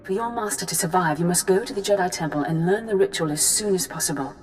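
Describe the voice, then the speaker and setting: A woman's voice: lowish voice, quick pace, a little hiss or hum, close to an everyday voice. A woman voicing a stern, direct female Jedi master spirit in a video game, speaking formally. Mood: earnest, direct.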